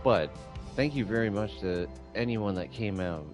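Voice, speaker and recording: A man's voice: fairly low pitch, moderate pace. A man streaming a game with casual, musing commentary, often repeating himself. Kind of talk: casual livestream commentary, reading game dialogue aloud. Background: music.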